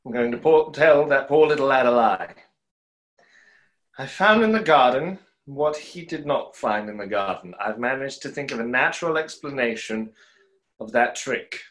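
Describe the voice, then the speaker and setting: A man's voice: mid-range voice, expressive, moderate pace, a bit bright, natural voice. A young man playing a proud, remorseful conjurer in a play reading, earnest and dramatic. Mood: resolved. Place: small room, indoors.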